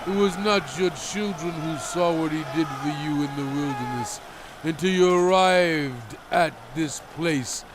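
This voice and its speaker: A man playing a solemn, commanding biblical prophet in a dramatized scripture reading, speaking in a measured, emphatic, exhorting way. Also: a little high, background noise. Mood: forceful, emphatic. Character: solemn commanding male biblical prophet addressing his people.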